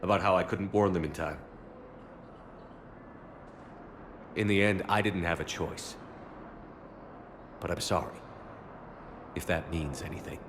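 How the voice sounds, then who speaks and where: Man acting a deep-voiced, earnest commander. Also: noisy background, close to an everyday voice. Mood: remorseful. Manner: measured, grave. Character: steadfast, earnest male military commander. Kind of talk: video game dialogue.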